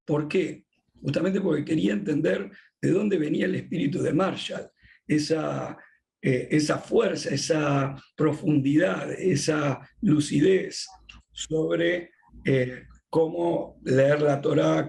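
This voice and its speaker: A middle-aged Argentine man, a rabbi speaking as a podcast guest, who talks in a measured way with frequent drawn-out "eh" pauses. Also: fairly high pitch, vocal fry, darkish tone. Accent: Argentine Spanish. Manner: measured, many drawn-out "eh" fillers. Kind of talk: thoughtful conversational interview.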